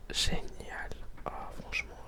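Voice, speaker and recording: A young adult student whispering a casual ASMR chat, hesitant and full of restarts. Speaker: ASMR video maker.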